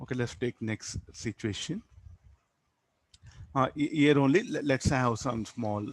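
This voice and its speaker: An Indian-accented man gives a physics lecture in a medium-pitched voice. He works through problems didactically, putting questions to the class and answering them himself. The sound is dry, with very little room echo. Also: a little slow. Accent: Indian English. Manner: didactic, asks and answers own questions, tags statements with "no?". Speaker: physics teacher.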